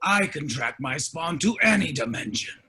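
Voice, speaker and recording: A man acts out a menacing humanoid demon father in his fifties, high-pitched and rough-edged. He is cold, formal and imperious, issuing threats in a comedy script reading. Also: sing-song, normal speed, pushed and bright, exaggerated voice. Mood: cold, stern. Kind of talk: comedy script table read.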